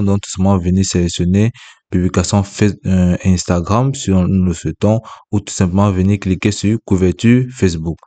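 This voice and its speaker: A man hosting a software tutorial, narrating each step on screen, repeating himself and correcting small slips as he goes. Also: sits low. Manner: repetitive, with frequent self-corrections. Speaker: tutorial host.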